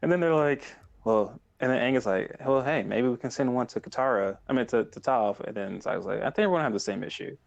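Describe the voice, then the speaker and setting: A man in his thirties co-hosting a podcast in casual chat, retelling things in an excitable, rambling way. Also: mid-range voice, quick pace, creaky, dull audio. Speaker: podcast co-host.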